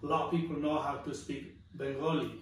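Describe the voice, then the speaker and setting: A man, born in Bangladesh, giving earnest, chatty commentary on a video he plays, with fillers and pauses. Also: on the high side, flat delivery, very echoey, distant mic, a little hiss or hum, poor audio. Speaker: vlogger reacting to a video. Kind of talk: casual reaction commentary.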